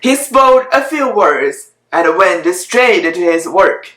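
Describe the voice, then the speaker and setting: A woman giving a dramatic reading of children's verse from a picture book, pausing between phrases and adding little asides. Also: fairly low pitch, animated, a bit bright. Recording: echoey room.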